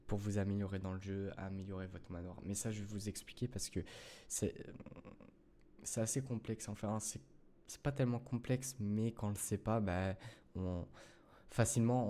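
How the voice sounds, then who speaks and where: A man's voice: fairly low pitch, warm tone, slight background noise. A man talking through a gameplay tutorial in casual French, rambling with many fillers and self-corrections as he addresses his viewers. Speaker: gaming youtuber.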